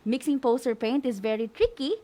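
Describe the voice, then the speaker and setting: Female co-host, slightly high voice, Visayan Filipino accent, scripted teaching copy mixed with conversational asides. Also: expressive, a little fast, warm tone. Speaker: co-host of a radio art class. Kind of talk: scripted lesson narration with chatty asides.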